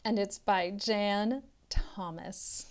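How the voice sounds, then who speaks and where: A woman's voice: mid-range voice, steady tone, very slow. A woman tutor reading a picture book aloud to children, expressively and instructively, drawing out some words.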